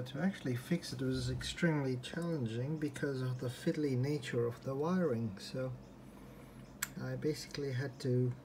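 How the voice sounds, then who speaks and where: Man explaining over background noise. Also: mid-range voice. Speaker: hobbyist restorer presenting a repair. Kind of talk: informal unscripted workbench walkthrough. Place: indoors at a desk in a room. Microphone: handheld phone or camera, behind the lens. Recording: handheld phone video with background noise.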